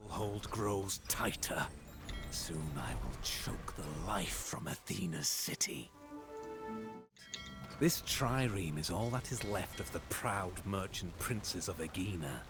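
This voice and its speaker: An actor playing a ruthless, arrogant Spartan commander in a video game, menacing, moving between hissed whispers and roars. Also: male voice, low-pitched, expressive, takes it slowly, exaggerated voice. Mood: gloating menace. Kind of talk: dramatic video game acting. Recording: loud music behind the voice.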